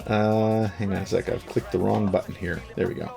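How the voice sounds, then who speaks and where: Rambling low-voiced man, faint music under him. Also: moderate pace, gravelly. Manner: relaxed, rambling, with frequent fillers. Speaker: host reading an old gaming magazine. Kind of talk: casual commentary.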